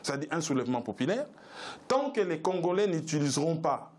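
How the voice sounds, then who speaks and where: A man's voice: on the high side, very animated, fairly quick. A man arguing as a political panel guest in an emphatic, rhetorical way, driving points home with repetition. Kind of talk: political debate commentary.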